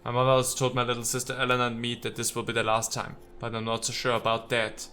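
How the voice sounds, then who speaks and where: A man's voice: medium pitch, completely flat delivery, fast, bright, forceful tone, slight background noise. A man giving casual, playful let's play commentary, slipping into theatrical readings of game text and talking to the characters.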